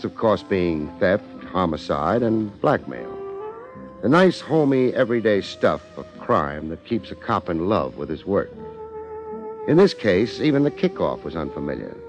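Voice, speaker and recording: An American actor playing a wry, hard-boiled private detective in a 1950s radio drama, terse and streetwise. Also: male voice, deep voice. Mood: wry, world-weary. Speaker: lead actor and narrator. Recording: muffled 1950s radio recording, faint music.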